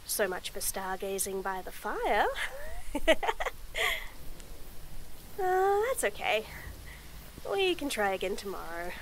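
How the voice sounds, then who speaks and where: A young woman voicing a shy, nervous tomboy friend in a romantic roleplay audio, stammering, giggling and speaking softly. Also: mid-range voice, slight background noise, rough recording. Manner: stammering, giggly, soft-spoken. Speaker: voice actor.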